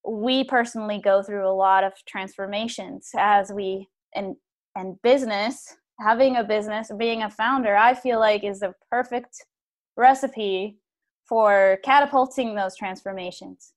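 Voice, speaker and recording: A woman hosting a podcast, talking in a lively, chatty way and laughing often. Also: medium pitch, a little slow. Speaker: podcast host. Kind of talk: casual podcast conversation.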